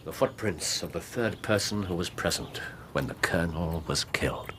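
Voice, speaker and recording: A man acting a keen, commanding Victorian private detective in a radio drama, brisk and precise, murmuring as he muses. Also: low-pitched, fairly bright tone, slight background noise. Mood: musing, intent.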